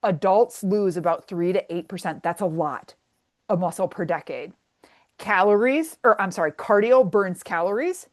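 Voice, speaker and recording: Blunt animated woman coach. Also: mid-range voice, normal speed. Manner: blunt, animated, swears casually, lots of "like" fillers. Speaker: fitness coach hosting a webinar. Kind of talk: casual webinar talk.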